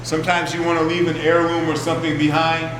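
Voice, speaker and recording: An older man, a martial arts teacher, speaking in a relaxed, rambling way to a workshop group. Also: fairly high pitch, fast. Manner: relaxed, rambling, warmly introducing. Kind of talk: workshop talk to students. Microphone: far from the mic. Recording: echoey room from far off, hum.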